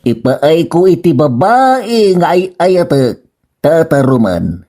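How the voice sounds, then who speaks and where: A gullible young Filipino man in love acted by a man in an Ilocano radio comedy. His voice is fairly high and he speaks very slowly and haltingly. The accent is Ilocano. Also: very animated, dark tone, heavily acted. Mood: lovesick. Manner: halting, hesitant.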